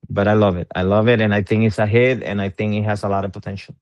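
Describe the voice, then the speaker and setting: Man from Puerto Rico, middle-aged, interviewed on a video call, smooth clear voice, dry sound, hesitant with many fillers. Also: on the low side, flat delivery, quick pace, a little mellow, no echo, dull audio. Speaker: interviewed musician. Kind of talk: casual interview conversation.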